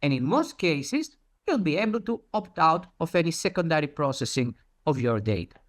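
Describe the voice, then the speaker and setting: A conference presenter with a mid-range voice and very animated intonation. The delivery is explanatory and didactic, built around rhetorical questions that the speaker then answers. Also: medium pitch, normal speed.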